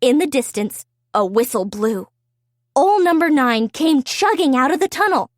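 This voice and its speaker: A woman reading a story aloud for young children in an expressive, deliberate way. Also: sits high, dry recording, clean studio sound.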